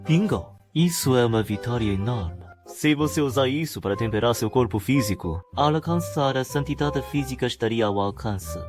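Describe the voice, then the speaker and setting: A man's voice: mid-range voice, animated, moderate pace, few pauses, close to an everyday voice. Guy doing an anxious hack writer in a motion comic, self-deprecating schemer, medium voice, music behind. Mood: smug, gleeful. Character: anxious scheming male web-novel writer, self-deprecating yet gleeful. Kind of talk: dramatic motion comic narration and dubbing.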